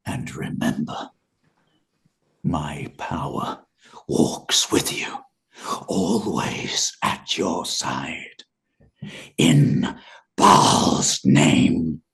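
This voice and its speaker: An actor playing a sinister, murderous fiend who serves a dark lord, hissing in whispers and booming with menace. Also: male voice, drawn-out words, vocal fry, pauses often, full character voice. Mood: menacing.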